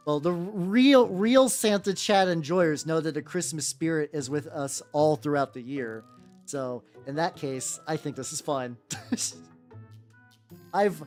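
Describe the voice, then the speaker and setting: Young male streamer, relatively high voice, lively swooping pitch, casual and repetitive. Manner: rambling, repeats words, laughs often, squeals in playful high bits. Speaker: VTuber streamer. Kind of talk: casual livestream chat while painting miniatures. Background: soft background music.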